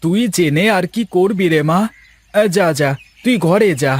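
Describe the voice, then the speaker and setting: A man playing a worried, gentle farmer father in a village cartoon, with a plaintive, fretful manner. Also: high voice, unhurried. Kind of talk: Bengali moral-story cartoon voice acting.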